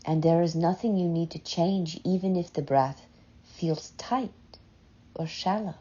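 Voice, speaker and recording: A woman leading a guided meditation in a soft, breathy voice, gently and unhurriedly, with long pauses between short phrases. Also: fairly low pitch. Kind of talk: slow, soothing guided meditation. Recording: dull, muffled lo-fi sound.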